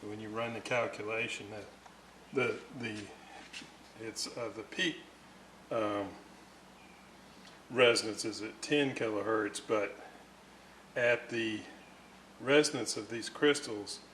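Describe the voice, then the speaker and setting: A man with a fairly low voice talks very slowly over a faint background noise. He explains technical work step by step, unscripted, pausing to search for words. His delivery is methodical and halting. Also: fairly bright tone. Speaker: radio restorer presenting repair videos.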